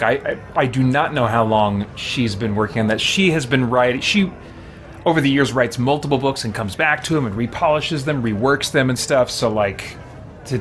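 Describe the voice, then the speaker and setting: A man's voice: medium pitch, a bit bright. A man streaming a game, chatting casually and musing aloud as he plays. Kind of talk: casual live gameplay commentary. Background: game music.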